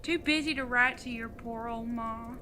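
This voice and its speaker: A woman's voice: on the high side, steady tone, moderate pace, bright, forceful tone, a little hiss or hum. A woman acting in an audio drama as a retired outlaw mother, older, fond and teasing, with a folksy Old West way of talking. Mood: fond scolding.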